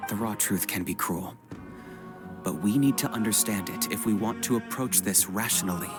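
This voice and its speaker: A man's voice: on the low side, normal speed, over music, natural voice. A man voicing a rational young male strategist in a game, blunt and analytical. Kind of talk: game voice acting.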